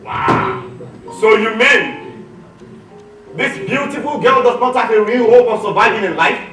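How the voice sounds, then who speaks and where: Man acting a generous gentleman in echoey hall. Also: very high voice, lively intonation, a little slow, faint music behind, pitched above the performer's own voice, heavily acted. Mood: sympathetic. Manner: emphatic, reassuring, projected delivery. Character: generous, important gentleman, benefactor of beggars. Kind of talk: theatrical one-man stage acting. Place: hotel hall stage. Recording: distant echoey stage recording, faint noise.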